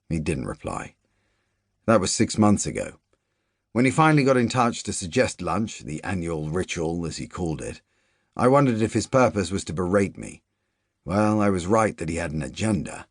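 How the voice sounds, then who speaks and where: A man reading a literary novel aloud as a first-person audiobook narrator, measured and even, dropping to quiet asides in the dialogue. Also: deep voice, breathy edge, dry recording, studio quality.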